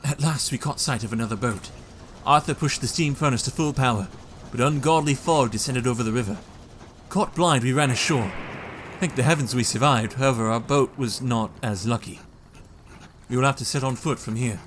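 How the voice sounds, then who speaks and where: An actor playing an earnest, devoted young husband in an audio drama, speaking tenderly and softly, with faint background noise. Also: male voice, medium pitch, a little fast, natural voice. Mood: tense. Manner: earnest, tender, soft-spoken. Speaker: narrator of his own journal.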